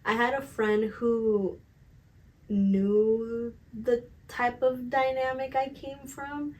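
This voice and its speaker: A woman in her twenties chatting casually and candidly to camera as a vlogger, emphatic and slangy, with a slightly raspy voice. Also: on the high side, level tone, slow pace, darkish tone. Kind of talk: casual confessional chat to camera.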